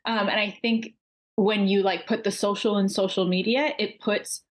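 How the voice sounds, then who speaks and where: A woman's voice: mid-range voice, little pitch movement, moderate pace. A woman guest born in Iran and raised in the United States, talking frankly and animatedly with many ums and likes. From Iran, raised in the USA. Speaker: podcast guest, business coach. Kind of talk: casual interview.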